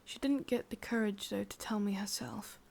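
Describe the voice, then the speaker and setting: A woman with an English accent voicing an ancient, possessive, wistful witch in a slow, intimate, breathy ASMR whisper. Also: mid-range voice, a little mellow. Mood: confiding. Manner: slow, intimate, musing, with lingering pauses. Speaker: ASMR roleplay performer. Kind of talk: whispered ASMR roleplay monologue.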